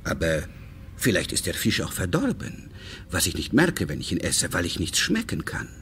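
An actor playing a suave, fatherly and controlling businessman in a radio crime drama, speaking deliberately and courteously with veiled menace. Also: low voice, wildly swooping pitch, fairly bright tone, slight background noise, heavily acted. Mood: quietly menacing.

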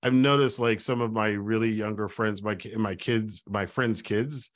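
A man's voice: lowish voice, normal speed. A man in his forties hosting a casual interview at a close studio mic, rambling and gesturing as he talks. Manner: rambling, restarts, animated with gestures. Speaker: podcast host. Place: small home studio.

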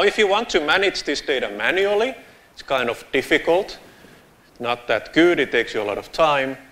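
A man with a Finnish accent talks through a conference presentation in a large lecture theatre, his voice at an ordinary mid pitch. He keeps it casual and joking and gestures as he walks the stage. His lapel mic keeps the voice close. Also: a bit bright. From Finland. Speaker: conference speaker. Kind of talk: conference tech talk.

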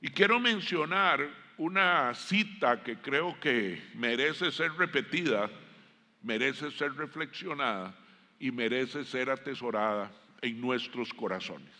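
Casting: middle-aged Costa Rican male preacher, bright forceful voice, deliberate pauses, long auditorium reverb. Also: mid-range voice, expressive. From Costa Rica. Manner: deliberate, with frequent pauses and emphatic phrasing. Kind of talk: sermon. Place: large indoor church auditorium stage. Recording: long hall reverb from stage sound system.

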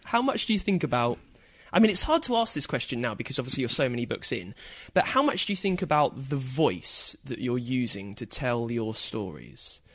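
A man's voice: medium pitch, big pitch swings, brisk, dry recording, studio quality. A man hosting an interview, asking long conversational questions that he tentatively rephrases as he goes. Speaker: podcast host, interviewer.

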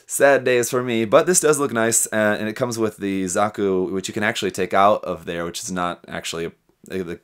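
A young adult man with a low-pitched voice presents a hobby news rundown. He talks casually and adds his own commentary as he goes, speaking into a close desk microphone in a small room. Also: clean tone. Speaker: host of a hobby news show. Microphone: desk microphone with pop filter, close.

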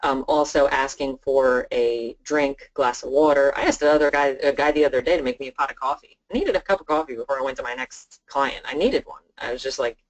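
An insurance sales trainer gives an informal talk to colleagues on a conference call. The delivery is chatty and conversational, often playing out what would be said to clients. The voice sits at a middling pitch. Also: brisk, few pauses, muffled recording. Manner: informal, chatty, role-plays client dialogue.

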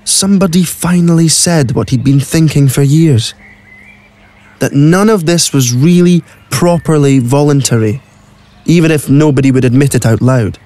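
A man's voice: a little high, moderate pace, mellow. A man narrating a scripted story for an audio play.

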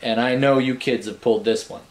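A man with a mid-pitched voice reads children's stories in a playful, theatrical way, slipping into casual chat. The sound is roomy, like a home recording made a little way from the camera, with clear background noise. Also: fairly even intonation, fairly quick. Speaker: storyteller reading picture books aloud. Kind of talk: bedtime story reading with casual asides. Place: small furnished room at home, indoors.

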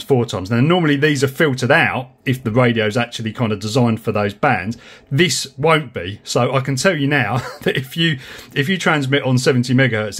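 A man's voice: medium pitch, a bit bright, breathy edge. A man in his forties presenting a casual, enthusiastic, informal tech review and explaining as he goes. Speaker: amateur radio vlogger and presenter. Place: small indoor room, home radio shack.

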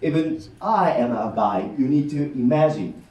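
Animated Japanese-accented man telling stories. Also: mid-range voice, normal speed. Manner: animated, warm explaining with gestures and frequent "okay?" checks. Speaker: rakugo storyteller. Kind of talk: live comic storytelling to an audience. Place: meeting room, indoors. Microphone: far camera in the audience. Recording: roomy sound from a distant camera.

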